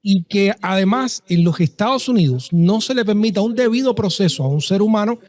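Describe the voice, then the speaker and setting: A man from Cuba, speaking softly and thoughtfully as a panelist in a political debate. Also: high-pitched, animated, fairly quick.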